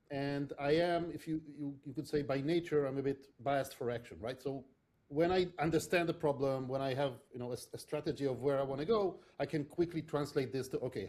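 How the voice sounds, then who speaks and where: A middle-aged man answers interview questions as a product leader. His voice sits a little high but sounds warm and mellow. He talks thoughtfully and often restarts his sentences or fills pauses. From Israel. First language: not English. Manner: thoughtful, many restarts and fillers. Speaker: podcast guest, product leader.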